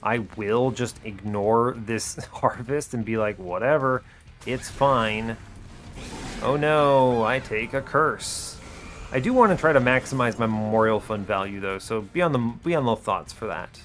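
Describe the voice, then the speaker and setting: Chatty guy talking thru his game, wry and laid back in what he says, normal pitch, bit of music behind. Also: a little slow, fairly bright tone. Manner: breezy, chatty, wry understatement. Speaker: host of an educational gaming video series. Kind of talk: casual gameplay commentary, thinking aloud.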